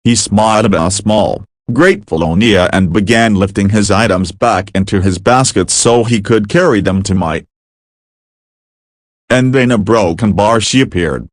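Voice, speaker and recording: A man delivering scripted first-person story narration in a deliberate, measured way. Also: fairly low pitch, dry recording, studio quality.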